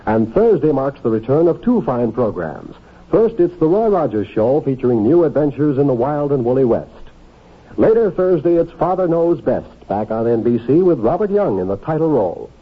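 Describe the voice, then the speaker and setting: A male radio announcer with a medium-pitched, husky voice and very lively intonation. He reads upbeat scripted promotion in 1950s style, over a thin, phone-like old recording. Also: moderate pace. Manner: polished, upbeat sales delivery. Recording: narrow-band, tinny old radio recording.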